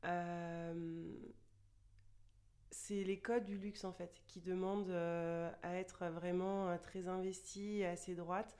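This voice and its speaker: A woman in her thirties answering interview questions conversationally, often pausing mid-sentence as she looks for her words. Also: fairly low pitch, level tone, darkish tone. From France. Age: 30s. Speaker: podcast guest, luxury boutique director.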